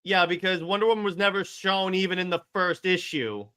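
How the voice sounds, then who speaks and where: A man's voice: high voice, steady tone, fairly quick, pushed and bright, clean tone. A man co-hosting a podcast, talking casually about films and video games in an informal back-and-forth. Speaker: podcast co-host. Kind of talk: casual film and game discussion.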